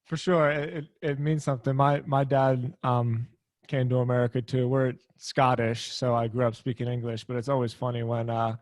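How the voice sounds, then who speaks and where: A man's voice: medium pitch, normal speed, no echo. Friendly male host from Philly, casual and laughing easily, remote interview over a video call. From Philadelphia area. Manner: relaxed and friendly, laughs often. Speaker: podcast host.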